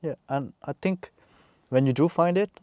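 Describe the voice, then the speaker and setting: A man with a warm, mellow voice hosts a casual chat, backing his guest up with steady agreement and encouragement. The sound is slightly dull and lo-fi, and dry, with no room sound. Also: a little high, moderate pace, no room sound. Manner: encouraging, frequent backchannel agreement. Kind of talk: casual conversation.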